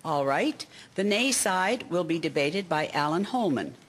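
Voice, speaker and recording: A woman playing a high school teacher leading her class in a radio drama. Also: lively intonation, breathy edge. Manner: brisk classroom authority.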